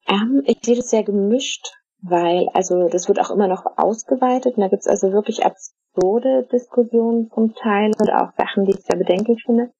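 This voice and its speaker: A middle-aged woman from Germany, answering interview questions in a casual, thoughtful way, with many fillers and pauses. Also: mid-range voice, mellow, slightly rough, no room sound. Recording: dull, dry lo-fi recording.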